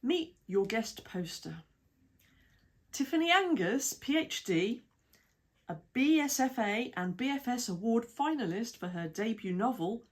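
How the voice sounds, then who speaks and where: A woman with a British accent reading an article aloud in a measured, even way, with lists of titles and dates. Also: mid-range voice. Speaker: reader of an article. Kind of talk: read-aloud essay narration.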